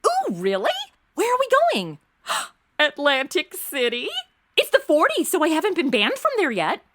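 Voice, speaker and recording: A woman's voice: sits high, huge pitch swings, moderate pace, a bit bright, exaggerated voice. A woman playing a brash, ditzy, sarcastic modern young woman in a comedic audio drama, with slangy, theatrical delivery. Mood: sarcastic, flippant.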